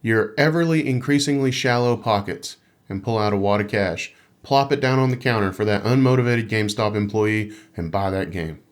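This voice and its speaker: A man's voice: fairly low pitch, moderate pace. Joking man co-hosting a podcast. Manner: joking, playful. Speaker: podcast co-host. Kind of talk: casual gaming podcast chat.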